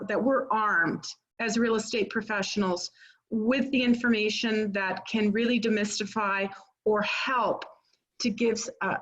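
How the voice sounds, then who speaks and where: A woman's voice: mid-range voice, fairly bright tone, poor audio. A woman hosting a webinar on a video call, gushing thanks in a gracious, rambling way. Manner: effusive, gracious, rambling with restarts. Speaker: webinar host. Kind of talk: welcoming introduction.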